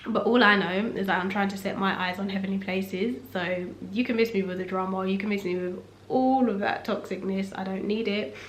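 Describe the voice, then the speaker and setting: Young woman, husky from a cough, reflective faith-centred vlog chat, medium pitch, roomy echo with faint background hum. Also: a little fast. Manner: relaxed, reflective and faith-focused. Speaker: vlogger. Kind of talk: casual sit-down talk. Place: room at home. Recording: echoey room, faint ambience.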